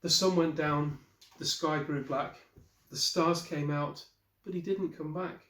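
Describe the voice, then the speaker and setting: A man in his forties reading a picture book aloud as an expressive storyteller, the way a teacher would. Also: a little high, unhurried. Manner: expressive storyteller with brief asides to listeners. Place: small wallpapered room indoors. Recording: roomy, echoey indoor recording.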